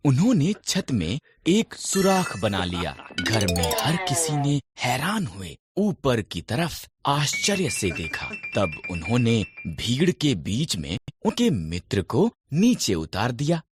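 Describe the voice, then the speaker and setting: A man reads scripted Bible stories as a narrator. He takes his time and keeps a measured storyteller's delivery. His mid-range voice moves up and down with lively expression. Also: mid-range voice, over music.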